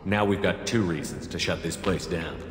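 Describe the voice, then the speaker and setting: An actor playing a steady, principled human military commander in a video game, direct and matter-of-fact. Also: male voice, sits low, normal speed, a little hiss or hum, natural voice. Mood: disapproving, firm. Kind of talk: video game character acting. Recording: echoey hall reverb, music behind. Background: game music.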